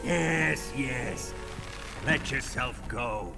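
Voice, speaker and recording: Gravelly evil army commander in a video game, menacing n theatrical, taunts his prisoner, music behind. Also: mid-range voice, animated, very slow, full character voice. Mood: menacing, relishing. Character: sadistic, domineering military commander interrogating a captive. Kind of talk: dramatic video game acting.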